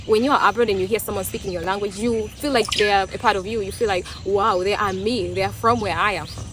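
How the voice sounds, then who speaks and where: A woman's voice: mid-range voice, steady tone, fast talker. Tanzanian woman vlogging in english, swahili first language, casual and reflective, some faint rural noise behind her. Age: young adult. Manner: thoughtful, restarts and repeats words. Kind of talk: casual opinion talk.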